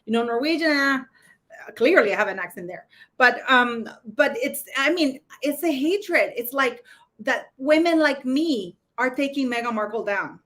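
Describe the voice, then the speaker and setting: A woman hosting a casual live chat who speaks English with an accent and talks in a rambling, halting way with many fillers. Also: high voice, animated, a bit bright. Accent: non-native English accent. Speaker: channel host.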